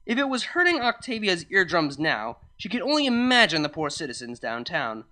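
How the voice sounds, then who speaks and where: A narrator reading fan fiction aloud in an animated storytelling style. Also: mid-range voice, brisk.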